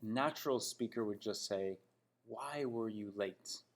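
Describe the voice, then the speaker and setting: A man with a Canadian accent and a fairly low voice teaches English. He is didactic and emphatic, stressing example sentences and often checking in with short tag questions. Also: unhurried. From Toronto, Canada. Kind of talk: spoken English lesson critiquing example sentences.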